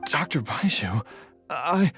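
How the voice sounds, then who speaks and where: Gentle game father, slow, halting, weary, wavering intonation, music behind. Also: a little high, big pitch swings, exaggerated voice. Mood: bewildered. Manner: hesitant, halting. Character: gentle, weary, bewildered revived husband and father. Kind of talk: scripted video game dialogue.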